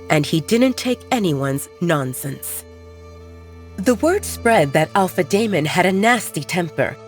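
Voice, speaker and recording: A woman reads a scripted audio story in a low voice that swings widely in pitch. Her delivery is dramatic and expressive, with soft music under her. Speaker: narrator. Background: background music.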